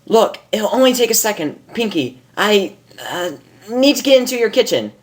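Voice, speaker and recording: Woman voicing a brash, flustered cartoon pegasus, pitched up. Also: very animated, moderate pace, lightly acted. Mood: flustered, pleading. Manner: blurting, hesitant with ums.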